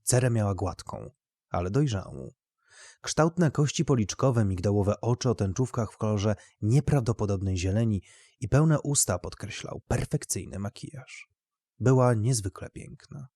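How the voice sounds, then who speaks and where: Husky low-voiced male storyteller. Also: animated, normal speed, dark tone, no echo, studio quality. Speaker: narrator. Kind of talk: scripted literary fiction narration.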